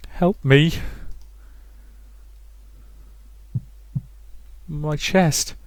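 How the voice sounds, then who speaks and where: A man voicing an anguished young woman in a visual novel, halting and pleading. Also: sits high, no echo, voice pushed up, full character voice.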